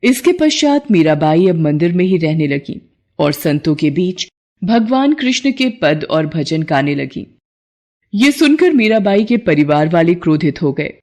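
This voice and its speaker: A woman's voice: fairly low pitch, very animated, a little slow, a little mellow. Woman narrating a devotional story.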